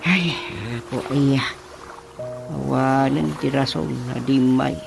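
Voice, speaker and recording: A slow-talking old village chairman, pompous and easily flustered, mutters and exclaims in an Ilocano accent. It is radio comedy drama, with music clearly behind him. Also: mid-range voice, level tone. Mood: muttering, troubled. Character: self-important, flustered elderly village chairman.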